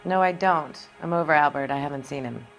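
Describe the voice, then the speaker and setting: A woman's voice: low-pitched, a little fast. A woman playing a brassy, hard-bitten young American dame in a 1940s crime game, blunt, slangy and evasive. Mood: defiant, evasive. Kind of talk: video game acting, period crime drama. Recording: music behind the voice.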